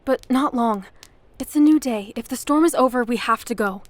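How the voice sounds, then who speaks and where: High, headstrong young woman played by an actress. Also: moderate pace, no room sound, natural voice. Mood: insistent, defensive. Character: headstrong, earnest young woman eager to fight. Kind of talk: audio drama acting.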